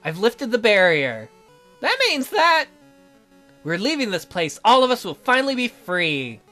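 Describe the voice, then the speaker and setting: A woman's voice: mid-range voice, sing-song, unhurried, fairly bright tone, faint music behind. A woman voicing a proud, troubled noble lady in a visual novel read-through, with solemn, declarative delivery. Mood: resolved.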